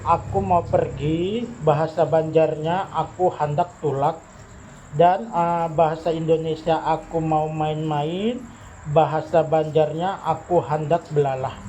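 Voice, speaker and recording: A middle-aged man answering an informal interview, explaining things step by step in a halting way. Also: fairly high pitch, steady tone, warm tone, highs cut off. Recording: noisy recording with vehicle sounds behind.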